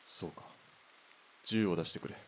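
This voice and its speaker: A man's voice: moderate pace, a little hiss or hum, lightly acted. A man playing a terse army soldier in a radio drama, matter-of-fact.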